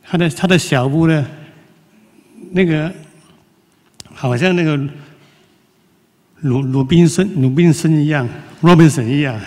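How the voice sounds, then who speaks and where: A man giving an informal speech to an audience, halting with many restarts and fillers. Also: on the high side, darkish tone. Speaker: forum speaker. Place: large lecture hall. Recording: long hall reverb.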